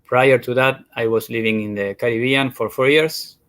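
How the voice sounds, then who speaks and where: A man speaks politely and easily as a livestream guest. His voice sits at a middle pitch, and he comes through a video call. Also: fairly even intonation, normal speed, fairly bright tone, no echo. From Argentina. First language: Spanish. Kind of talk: casual livestream chat.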